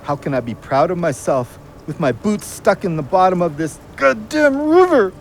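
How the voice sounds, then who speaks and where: A man's voice: sits high, very animated, normal speed, a little hiss or hum. An actor playing an anguished, self-loathing troubled man in an audio drama, brooding and emotionally volatile. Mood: bitter despair.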